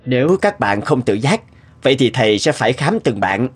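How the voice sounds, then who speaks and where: Calm schoolteacher, lively intonation. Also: male voice, medium pitch. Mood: calm, firm. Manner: measured, instructive. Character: calm, fair male schoolteacher. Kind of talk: cartoon dubbing. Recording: clear music behind.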